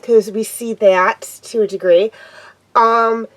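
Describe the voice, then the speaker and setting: A young woman hosting her own TV review show, her voice nasal and blocked up from a cold. She talks slowly and hesitantly, pausing often and filling gaps with ums. Her voice sits a little on the high side. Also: fairly even intonation, low-quality recording. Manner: hesitant, many pauses and ums. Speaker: show host reviewing TV episodes. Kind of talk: casual unscripted episode review.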